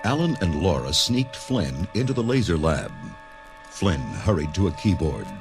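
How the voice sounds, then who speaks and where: Deep, animated male storybook narrator. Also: moderate pace, gravelly, over music. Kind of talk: dramatic scripted narration.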